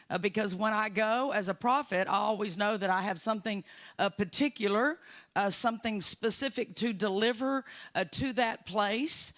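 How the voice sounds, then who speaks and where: Texan woman, guest preacher, bright tone, rousing, with many "uh" pauses. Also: fairly high pitch. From Texas, USA. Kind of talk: sermon.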